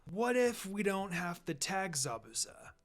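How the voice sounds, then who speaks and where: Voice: medium pitch, big pitch swings, unhurried, faint music behind. A reader playing an eager, scrappy boy ninja in a story reading. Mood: sly confidence. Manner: brash, explaining eagerly.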